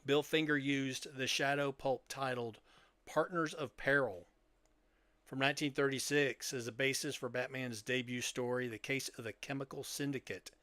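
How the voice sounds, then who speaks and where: A man's voice: mid-range voice, fairly even intonation, moderate pace, a bit bright, no echo. An older man hosting a podcast, chatting casually through his show notes in a rambling, jokey, nerdy explainer way. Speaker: podcast host.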